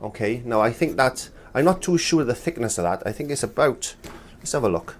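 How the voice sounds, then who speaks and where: A man's voice: medium pitch, sing-song, fairly quick, slight background noise. Middle-aged male art instructor, stuffy-nosed from a cold, rambling and friendly, lapel mic in a small studio. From Wales. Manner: chatty, rambling, many restarts and fillers. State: congested from a cold. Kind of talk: casual demonstration lesson.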